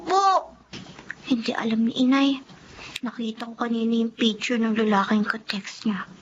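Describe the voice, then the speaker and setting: A woman's voice: a little high, fairly even intonation, normal speed, close to an everyday voice. A woman playing a meek, polite and dutiful young daughter in a Filipino radio drama. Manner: polite, deferential. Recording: dull lo-fi audio, faint noise.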